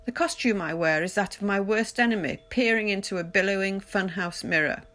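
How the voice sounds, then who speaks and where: A woman reading poetry aloud in a measured, deliberate literary recitation, pausing between phrases. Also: medium pitch, fairly bright tone. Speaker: poetry reader. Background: music.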